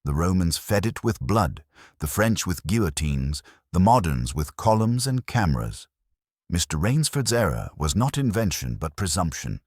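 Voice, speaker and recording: Guy doing a stern brainy detective for an audiobook, super deep raspy voice, formal precise wording. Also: big pitch swings, normal speed, a little mellow, no echo, clean studio sound, full character voice. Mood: reflective. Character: imperious, cerebral male detective, measured and stern.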